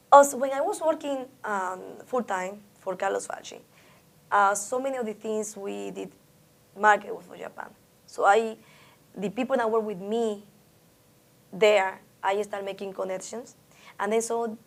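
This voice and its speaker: A woman from the Dominican Republic with a Dominican Spanish accent, a Spanish speaker being interviewed about her art, reflective and often restarting her sentences. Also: medium pitch. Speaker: artist interviewee. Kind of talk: oral history interview.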